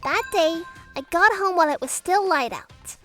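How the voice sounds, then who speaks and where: Anxious newlywed manga heroine, played by a woman: bright, very high, expressive, timid. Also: moderate pace, faint music behind, heavily acted. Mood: narrating, mildly troubled. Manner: expressive, flustered. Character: anxious, conflict-shy young newlywed wife. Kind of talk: manga dub first-person narration and dialogue.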